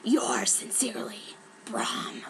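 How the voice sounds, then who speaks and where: A woman voicing a menacing male broom out for revenge, in a slow, harsh, breathy whisper for a dramatic storybook reading. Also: a little high, fairly even intonation, exaggerated voice. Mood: sinister. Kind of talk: dramatic fan fiction reading.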